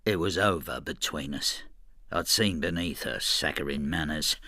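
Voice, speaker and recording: An actor playing a vengeful, ageing husband in a radio drama, brooding and spiteful, with a gravelly voice. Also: male voice, a bass voice, moderate pace, pushed and bright, exaggerated voice. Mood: bitter resentment. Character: bitter, vengeful ageing husband devoted to his cat. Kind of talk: first-person radio drama narration and dialogue.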